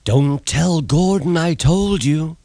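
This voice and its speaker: A man's voice: fairly high pitch, slow pace, mellow, no room sound, pitched above the performer's own voice. A man voicing a boastful, scheming diesel engine for a children's storybook, pompous and indignant. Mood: sly.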